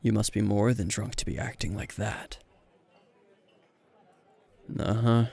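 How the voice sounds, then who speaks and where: A man acts out a weary hero teacher several drinks in, speaking low with a heavy gravelly fry. He is gruff and deadpan, yet dryly flirtatious, murmuring close to the listener in an anime-style ASMR roleplay. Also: some chatter behind, exaggerated voice. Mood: flirtatious, soft. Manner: low-key, dry, deadpan, unhurried. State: playing tipsy.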